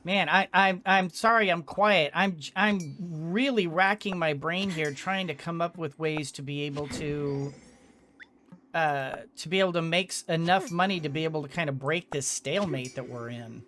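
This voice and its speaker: A gamer giving casual commentary on a video game in a halting way, thinking aloud and repeating words. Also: mid-range voice, a bit bright. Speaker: gamer, let's-play host. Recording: clearly noisy background.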